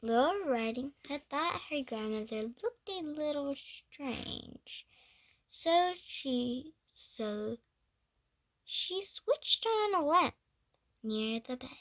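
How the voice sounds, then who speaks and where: High-pitched young girl reading slowly. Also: animated, dry recording. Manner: stumbling over words and correcting herself. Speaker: storybook reader. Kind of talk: reading a picture book aloud with playful asides.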